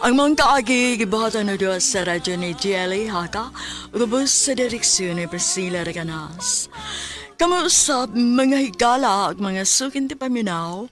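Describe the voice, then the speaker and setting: A woman's voice: medium pitch, lively intonation, moderate pace. A woman with a Cebuano Filipino accent, hosting a radio drama in scripted announcer style. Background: music.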